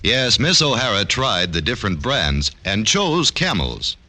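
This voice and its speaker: A man's voice: lowish voice, big pitch swings, unhurried, pushed and bright, soft background music. A man working as an announcer, reading a scripted commercial in 1940s radio style. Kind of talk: 1940s radio advertisement read.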